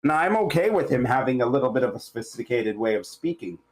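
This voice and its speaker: A man giving casual critique in a halting, rambling way, often searching for words. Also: mid-range voice, big pitch swings. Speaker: table read participant giving feedback.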